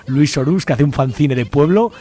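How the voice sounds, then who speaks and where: A man's voice: a little high, moderate pace, warm tone. A man with an accent from Spain hosting an informal radio show in a chatty, colloquial way.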